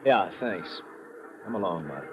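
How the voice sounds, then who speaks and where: A possessive young sergeant in a vintage radio play. His low voice is curt and stiff. The old recording is muffled, with clear background noise. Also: animated, moderate pace. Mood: jealous, curt. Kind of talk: 1950s radio drama acting.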